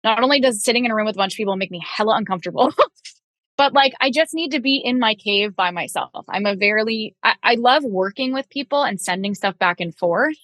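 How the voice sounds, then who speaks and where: A woman's voice: on the high side, expressive, brisk, a bit bright, no room sound. A young woman guest talking candidly in a casual podcast chat, with many fillers and restarts. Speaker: guest composer and vocalist.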